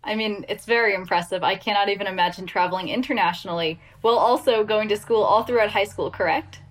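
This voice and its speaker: A woman's voice: medium pitch, quick pace. A woman hosting a podcast, reading a polished introduction and asking interview questions. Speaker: podcast host.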